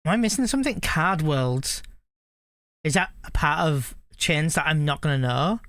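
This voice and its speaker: Higher-pitched man, clean dry sound. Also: animated, moderate pace, fairly bright tone, no room sound. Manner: rambling, musing aloud. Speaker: game streamer. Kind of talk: casual live-stream chat and reaction.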